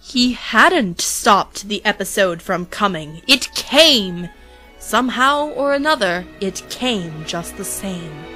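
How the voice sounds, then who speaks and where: Woman doing theatrical storybook narration in rhyme, bright voice, some music underneath. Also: mid-range voice, lively intonation, slow. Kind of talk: rhyming scripted storybook narration, parody.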